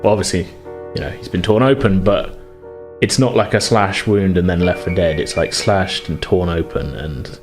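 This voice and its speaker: Man, lowish voice, game master, horror tabletop narration, hesitant improvised phrasing, faint music behind. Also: normal speed.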